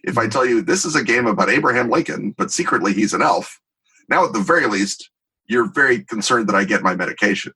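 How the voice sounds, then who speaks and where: A man's voice: on the low side, lively intonation, fast talker, sharp tone, husky, low-quality recording. A middle-aged man talking as an interview guest, explaining in an emphatic, wry, conversational way with digressive examples. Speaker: interview guest, tabletop game designer.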